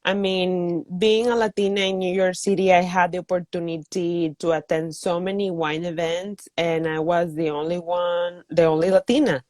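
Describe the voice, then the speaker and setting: A woman's voice: lowish voice, fairly even intonation, moderate pace, hardly any pauses, darkish tone, no room sound. A woman from northeast Mexico, a native Spanish speaker, talking casually as an interview guest. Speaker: interview guest, wine community founder.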